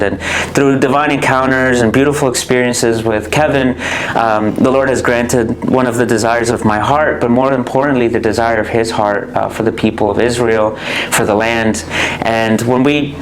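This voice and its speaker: A man's voice: medium pitch, steady tone, moderate pace, slight background noise, poor audio. A man, a guest giving a reverent, thoughtful faith testimony. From Colombia. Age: 20s.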